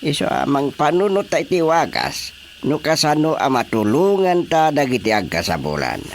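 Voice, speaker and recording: An actor playing an earnest husband in a radio drama comedy, speaking with an Ilocano accent. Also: male voice, a little high, big pitch swings, moderate pace, creaky, a little hiss or hum. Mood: earnest, eager. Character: husband planning how to help and treat neighbours.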